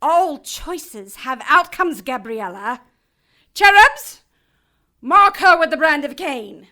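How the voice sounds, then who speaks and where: A woman playing a cold, mocking celestial being in an audio drama, passing judgment in a grandiose, drawn-out manner. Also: high-pitched, animated, pushed and bright, full character voice. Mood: cold, imperious.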